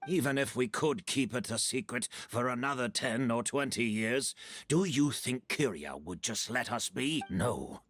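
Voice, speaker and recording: An actor playing a weary, ailing man in a video game, speaking slowly and solemnly with resignation. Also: medium pitch, lively intonation, a bit bright, no echo.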